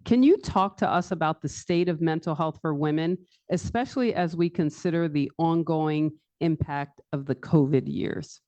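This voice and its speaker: A woman moderates a panel and asks her question in a measured way. Her voice is very low and dark. The sound is dull and dry. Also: no echo.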